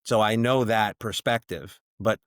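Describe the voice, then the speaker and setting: A middle-aged man talking casually as a podcast guest, telling stories with lots of "you know" fillers and lively quoted voices. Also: lowish voice, unhurried, no echo, studio quality. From born in Chile, raised in the US. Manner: chatty storyteller, many "you know" fillers, animated when quoting. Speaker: brewery founder, podcast guest. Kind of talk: casual conversational interview.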